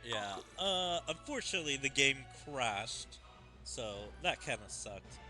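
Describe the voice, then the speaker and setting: A man's voice: mid-range voice, sing-song, unhurried, bright, forceful tone, soft background music. A man giving casual commentary as the host of a gaming video.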